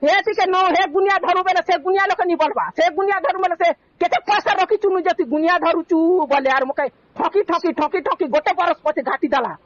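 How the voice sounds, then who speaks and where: Sambalpuri woman scolding on a muffled phone call recording, talks really fast, keeps hammering the same point. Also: extremely high pitch, dry recording. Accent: Sambalpuri (western Odisha). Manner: scolding, accusatory, insistent, repeats phrases. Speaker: caller in a family dispute. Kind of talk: heated argument on a phone call.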